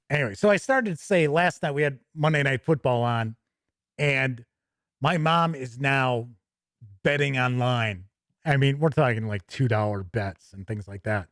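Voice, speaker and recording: Man, livestream host, highly varied intonation, slightly raised pitch, folksy storyteller, jokey, informal chat. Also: dry recording, clean studio sound. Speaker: host of a tabletop gaming news livestream. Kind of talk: casual livestream chat with viewers.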